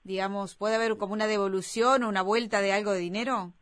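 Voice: mid-range voice, steady tone, fast, a bit bright. A radio host interviewing a guest, giving brief affirmations and asking conversational questions.

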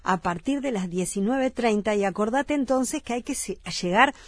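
A woman from Uruguay with a Rioplatense accent, hosting a radio interview in a lively, slangy way and repeating event details. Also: a little high, normal speed, no echo, clean studio sound. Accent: Rioplatense Spanish. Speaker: radio host. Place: radio studio. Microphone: studio mic.

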